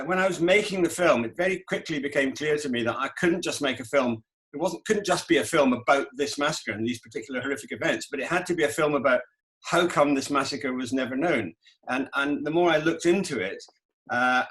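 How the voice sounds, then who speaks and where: A middle-aged man who grew up in Scotland, a documentary director answering festival questions, talking in a halting way with many restarts. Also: mid-range voice, a bit bright, rough recording. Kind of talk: festival Q&A interview.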